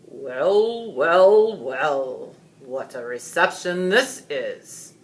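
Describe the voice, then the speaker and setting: Woman voicing a booming, slow, menacing storybook dragon. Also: animated, heavily acted. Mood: mock delight. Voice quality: cold, booming. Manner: slow, relishing, taunting delivery. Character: huge male dragon, menacing, gloating, theatrical villain.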